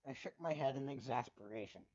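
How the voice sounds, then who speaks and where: Man, dramatic story reading, sardonic tone, mid pitch, muffled lo-fi recording. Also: darkish tone, no echo. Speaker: fan fiction reader. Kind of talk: dramatic first-person story narration.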